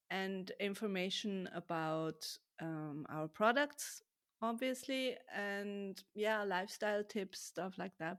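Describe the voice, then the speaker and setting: A woman with German as her first language talks through a podcast interview in English. Her voice sits in a middle pitch, and she speaks hesitantly, filling pauses as she searches for words. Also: smooth. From Switzerland. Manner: hesitant, many ums, frequent soft laughs. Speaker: podcast guest. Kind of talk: casual interview chat.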